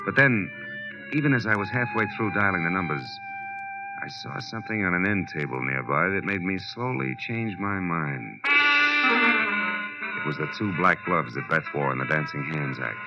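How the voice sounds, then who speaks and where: An actor playing a tough, wisecracking American private detective in 1940s radio style, clipped and wry. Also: male voice, sits low, huge pitch swings, normal speed, over loud music, heavily acted. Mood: wry, brooding. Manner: clipped, wry, hard-boiled. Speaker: lead actor and narrator. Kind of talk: 1940s radio detective acting, hard-boiled first-person narration and dialogue. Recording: muffled vintage radio recording.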